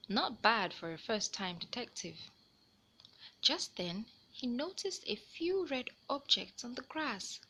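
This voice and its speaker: Female storybook reader for a kids' read-aloud: high, animated, expressive and deliberate. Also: slight background noise. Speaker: host reading stories aloud for children.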